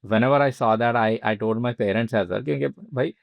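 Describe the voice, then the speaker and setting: A man with an Indian accent talking casually and haltingly as a podcast host, with frequent asides. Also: medium pitch, creaky, no echo, highs cut off.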